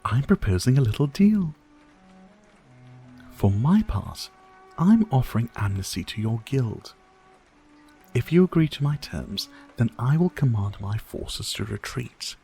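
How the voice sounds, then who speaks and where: Guy doing a sadistic vampire lord asmr, silky soft dark tone, slow n formal, teasing, music behind. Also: mid-range voice, very animated, vocal fry, slightly rough, exaggerated voice. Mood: silky, predatory. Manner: silky, formal, theatrical, teasing, drawn-out phrasing. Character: sadistic, courtly male vampire lord, dominant and mocking. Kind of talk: ASMR roleplay, dramatic monologue to listener.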